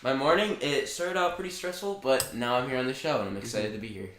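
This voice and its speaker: A man's voice: mid-range voice, quick pace, fairly bright tone. A teenage boy guest on a school podcast, chatty and upbeat. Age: teens. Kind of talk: casual chat. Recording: echoey room with faint hiss.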